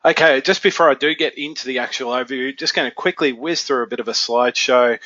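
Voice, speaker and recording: A man's voice: mid-range voice, fast, pushed and bright, no echo. Stuffy-nosed man presenting a webinar. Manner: casual, filler-heavy, conversational. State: stuffy nose from a cold. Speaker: software training consultant. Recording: dull, lo-fi webinar audio.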